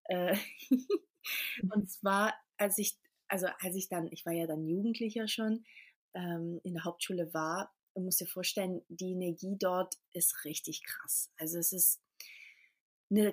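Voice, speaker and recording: A woman from Portugal, a podcast interview guest, telling her personal story in a reflective way with many pauses and restarts. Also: mid-range voice.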